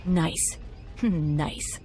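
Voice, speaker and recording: A woman's voice: low voice, drawn-out words, dark tone, a little hiss or hum. A woman playing a tough, cocky convict hacker in a game cutscene, confident and matter-of-fact. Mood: eager, cruel. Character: tough, cocky female convict computer slicer.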